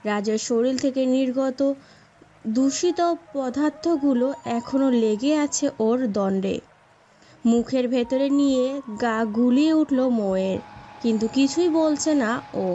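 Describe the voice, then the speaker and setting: A woman's voice: high-pitched, unhurried, dark tone, a little hiss or hum. A woman reading a scripted story as its narrator. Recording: dull muffled sound with music behind.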